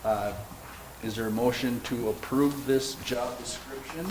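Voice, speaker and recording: A man serving as mayor, chairing a city council meeting in a brisk, procedural way. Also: mid-range voice, steady tone. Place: council chamber. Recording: noisy council chamber recording.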